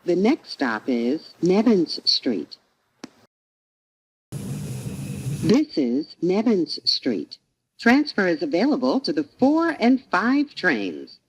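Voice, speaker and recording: A woman reading scripted transit announcements as a train announcer, in measured, separate phrases. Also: on the low side, wildly swooping pitch, poor audio. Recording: recorded train announcement with clear noise.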